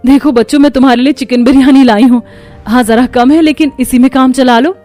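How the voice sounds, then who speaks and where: A woman's voice: high-pitched, fairly even intonation, fairly quick, mellow, soft background music, close to an everyday voice. A woman voicing a kind, humble, hardworking young village mother in a cartoon. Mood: gentle, excited.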